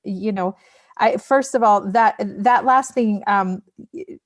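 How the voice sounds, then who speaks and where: A woman on a podcast panel speaking casually but emphatically, often restarting and repeating phrases to press her point. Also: mid-range voice. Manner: emphatic, halting restarts and repetition. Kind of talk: casual, impassioned discussion.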